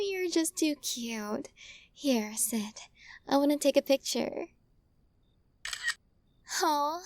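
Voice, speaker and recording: A possessive yandere witch, acted by a woman, murmurs in a lightly high voice, very close and dry. She is doting and smug, coaxing and teasing in ASMR style. Her soft speech drifts into breathy whispers with a sweet menace. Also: dry recording. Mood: cooing, delighted. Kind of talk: ASMR roleplay, intimate acting to listener. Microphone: close studio mic, dry.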